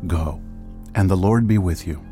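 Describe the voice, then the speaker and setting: Sober older king, lowish voice. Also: unhurried, dark tone, faint music behind. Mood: solemn. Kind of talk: dramatized scripture audiobook acting.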